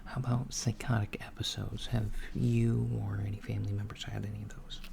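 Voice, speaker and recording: A man voicing a calm alien doctor in a soft-spoken, half-whispered ASMR roleplay, gently asking a human patient clinical questions. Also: lowish voice, a little fast, creaky, background noise. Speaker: ASMR creator.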